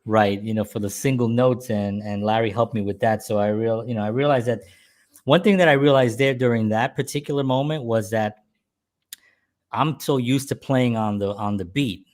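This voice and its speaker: A man's voice: mid-range voice, moderate pace, a little mellow. A middle-aged man hosting a show and reminiscing about being a musician in a casual, rambling, jokey way.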